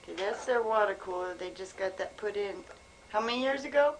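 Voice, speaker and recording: A woman's voice: mid-range voice, a little fast. Playful young woman behind a camcorder. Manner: playful, coaxing, giggly. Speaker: granddaughter filming and asking questions. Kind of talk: casual chat. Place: small home, indoors. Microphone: handheld camcorder, close behind camera. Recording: noisy amateur home video.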